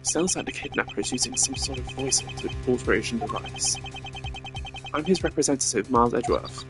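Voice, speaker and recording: A man voices a game playthrough as a streamer, theatrical in his reading. His pitch is average, and game music plays clearly behind him. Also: fairly quick. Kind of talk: voiced video game playthrough with dramatic reading and casual asides.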